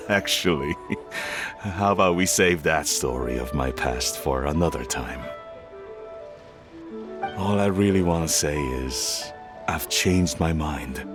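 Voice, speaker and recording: An actor playing a reserved, tender middle-aged father in a video game, speaking in a halting, earnest, measured way. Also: rumbling low, over music.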